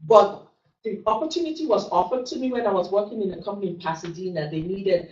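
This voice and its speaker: A woman's voice: medium pitch, fast, a little mellow. Nigerian woman teaching from across a room. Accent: Nigerian English. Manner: chatty, frequent "okay" check-ins. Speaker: instructor. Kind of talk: classroom lecture. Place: classroom. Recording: roomy, distant, dull classroom recording.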